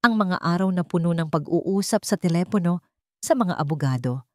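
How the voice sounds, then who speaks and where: A woman narrating a scripted drama story in a measured, dramatic storytelling manner. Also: fairly low pitch, lively intonation, a little mellow, no room sound, clean studio sound.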